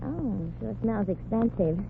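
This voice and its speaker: A woman's voice: mid-range voice, expressive, unhurried, creaky, slight background noise. An actress playing a dreamy young woman who talks in folksy slang, in a 1940s radio comedy. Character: wistful young woman, the lead's lookalike friend. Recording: tinny old radio broadcast.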